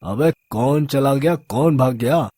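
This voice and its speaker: A man's voice: mid-range voice, slow, mellow, husky, dry recording, studio quality. A man playing a drunk, lecherous local thug boss in a story, leering and drawling street slang. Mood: lewd, taunting. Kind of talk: Hindi audio story character acting.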